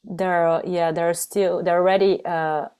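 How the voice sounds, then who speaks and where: A woman whose first language is Portuguese, speaking English with an accent on a video call, halting with many restarts. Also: fairly low pitch, flat delivery, a little mellow, smooth. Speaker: trainer for mothers. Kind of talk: casual video-call interview.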